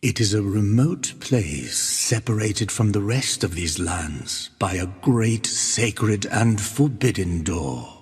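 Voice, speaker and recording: An actor playing a solemn, devout penitent who narrates and bears witness in archaic, measured speech in a video game. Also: male voice, on the low side, expressive, darkish tone, husky, heavily acted. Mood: grave, foreboding. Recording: long cavernous reverb.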